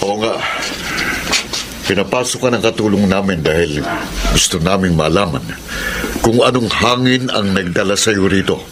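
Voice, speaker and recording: An actor playing a guarded, loyal young adult son in a radio drama. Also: male voice, deep voice, moderate pace, pauses often, noisy background, lightly acted. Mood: cold, challenging. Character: guarded, loyal grown son questioning others.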